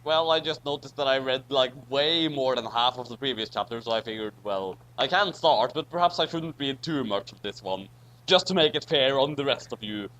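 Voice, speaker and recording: A man's voice: medium pitch, normal speed, a bit bright, poor audio. A man chatting casually as a co-reader in a group reading. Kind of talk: casual chat.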